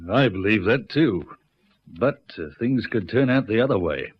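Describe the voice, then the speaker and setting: An actor playing a cautious, practical detective with a measured manner in a radio drama. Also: male voice, on the low side, lively intonation, slightly rough. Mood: doubtful. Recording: dull lo-fi radio recording.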